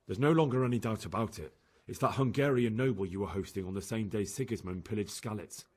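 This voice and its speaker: An earnest, low-voiced young man. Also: fast, darkish tone, husky. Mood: earnest, grave. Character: earnest young man reporting to lords, escaped captive. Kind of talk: video game cutscene acting.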